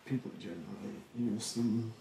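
A young man doing a soft-spoken ASMR roleplay in a breathy, hushed voice, politely snide and condescending with drawn-out, hesitant phrasing. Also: on the low side, reverberant, a little hiss or hum. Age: young adult. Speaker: ASMR performer playing a rude facial therapist. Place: bedroom, indoors.

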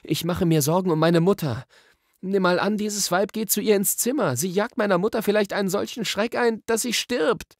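A man's voice: high-pitched, moderate pace, dry recording, studio quality, voice pushed up. A man voicing an anxious, stubborn young boy in a storybook, pleading and insistent. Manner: urgent, insistent.

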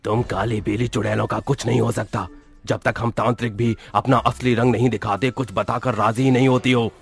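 A man's voice: mid-range voice, level tone, brisk, gravelly, husky. A man voicing a stern male exorcist in a cartoon story, commanding and scornful.

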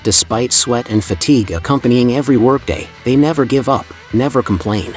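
Man, mid-pitched voice, scripted documentary narration, formal and admiring, even pacing, faint music behind. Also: brisk. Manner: formal, admiring, evenly paced reading. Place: voice-over, not the pictured building site. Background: soft background music.

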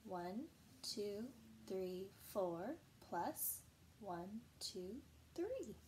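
A young woman teacher reading a picture book aloud and counting slowly for young children in a small room, patient and sing-song. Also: medium pitch, sing-song, background noise.

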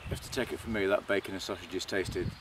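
A man's voice: sits low, fairly even intonation, quick pace. A middle-aged English man with a Lancashire accent, a bushcraft instructor explaining things casually outdoors with fillers and restarts, recorded on a camera mic. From England. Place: outdoors in a grassy meadow by woodland. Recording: faint outdoor background noise.

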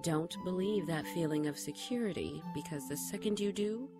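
A woman acts out a powerful female archangel in a radio drama, commanding and solemn. Her voice is on the low side, with music clearly playing behind it. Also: normal speed, close to an everyday voice. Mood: ominous. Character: powerful, imperious female archangel speaking in warnings.

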